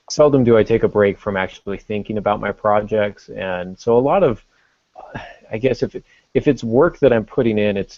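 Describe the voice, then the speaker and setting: Low, creaky-voiced man, halting and reflective. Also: dry recording, lo-fi sound. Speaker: podcast host. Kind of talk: casual podcast discussion.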